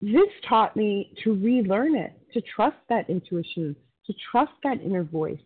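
A woman's voice: medium pitch, big pitch swings, unhurried, breathy edge. Florida woman on the call, heartfelt and emphatic, phone line audio. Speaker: meeting participant. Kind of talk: sharing at a phone support meeting.

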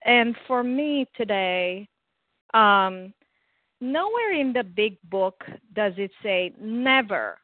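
A woman from Romania, sharing earnestly in a recovery meeting and pausing to search for words. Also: mid-range voice, big pitch swings, no room sound. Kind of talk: personal recovery share. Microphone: phone call. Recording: tinny dry phone line.

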